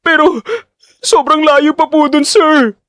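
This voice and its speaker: A man acting as a desperate teenage boy in an audio drama. The voice is high and tearful, imploring like a poor son with nowhere to turn, recorded very dry. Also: steady tone, slow pace, fairly bright tone, dry recording, heavily acted. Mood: tearful pleading.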